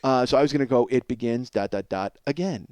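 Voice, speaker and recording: A man's voice: medium pitch, quick pace, a little mellow, no echo. A man hosting a comedy podcast in a rambling, self-mocking monologue, ranting with profane exasperation.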